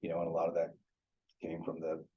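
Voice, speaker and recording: A man's voice: very deep voice, completely flat delivery, fast talker, lo-fi sound, slight background noise. A man hosting a casual interview, chatty and hedging with many filler words. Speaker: show host. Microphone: video or phone call.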